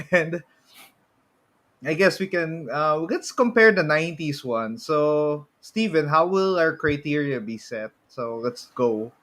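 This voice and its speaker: A man's voice: a little high, animated, slow pace, a bit bright. A man co-hosting a casual pop culture podcast, often repeating and rephrasing as he goes. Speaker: podcast co-host.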